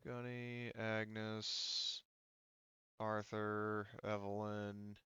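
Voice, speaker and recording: A man with a fairly low, clean voice acts as game master at a tabletop session. He improvises descriptions conversationally, stopping and rewording as he goes. The sound is close and dry, a little dull. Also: level tone, sharp tone, clean tone, no echo. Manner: halting, conversational, often rephrasing mid-thought. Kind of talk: improvised tabletop game narration and casual rules talk.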